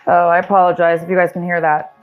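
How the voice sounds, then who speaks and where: A woman's voice: fairly low pitch, little pitch movement, fast, phone-quality sound, soft background music. A woman giving a casual, rambling tarot reading, talking straight to her viewers with fillers and restarts, and saying she is losing her voice. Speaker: tarot reader.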